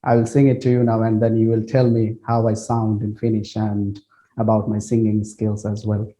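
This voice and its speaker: A man's voice: fairly low pitch, monotone, normal speed, dark tone. A man hosting a podcast interview, a non-native English speaker who asks eager questions and laughs often. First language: not English. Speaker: podcast host.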